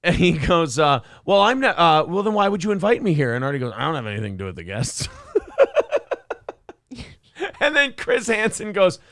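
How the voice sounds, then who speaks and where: A man's voice: a little high, very animated, moderate pace, pauses often, fairly bright tone, dry recording. A man hosting a casual talk show, rambling and profane, breaking into silly quoted voices now and then. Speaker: podcast host.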